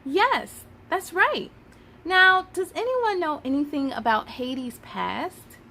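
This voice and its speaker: A woman's voice: sits high, lively intonation, slow pace, bright, forceful tone, voice pushed up, exaggerated voice. A woman voicing a patient, upbeat schoolteacher who instructs and encourages her class in a children's storybook. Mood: bright, encouraging. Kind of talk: children's storybook read-aloud.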